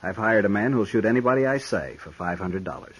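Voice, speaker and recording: An actor playing a haughty, threatening young gentleman, stiff and demanding, in a 1950s western radio drama. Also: lowish voice, lively intonation, fast talker, slightly rough. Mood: cold, threatening. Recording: noisy 1950s radio recording.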